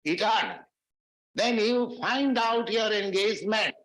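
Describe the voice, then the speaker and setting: Man lecturing slowly in an echoey hall. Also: sits high, fairly bright tone. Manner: slow, measured phrasing with Sanskrit verses. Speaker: spiritual teacher giving a lecture. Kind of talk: recorded philosophy lecture.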